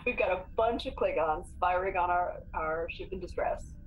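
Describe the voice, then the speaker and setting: A woman's voice: lowish voice, normal speed, poor audio. A woman chatting casually and decisively as a player in a tabletop role-playing game. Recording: clear background noise.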